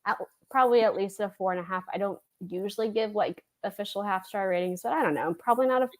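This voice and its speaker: A woman's voice: mid-range voice, fast. A woman talking casually as a panelist in a group book chat.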